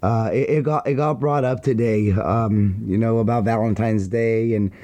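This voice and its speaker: A man hosting a casual solo podcast, rambling with hype-man banter and a slightly hoarse voice after a wild weekend. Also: on the low side, steady tone, normal speed, mellow. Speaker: podcast host.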